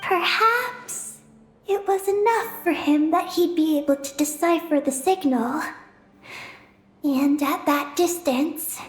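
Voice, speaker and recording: Ninin, slow high-voiced earnest girl. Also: echoey room, faint music behind, poor audio. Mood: solemn. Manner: halting, solemn, earnest. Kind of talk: video game voice acting.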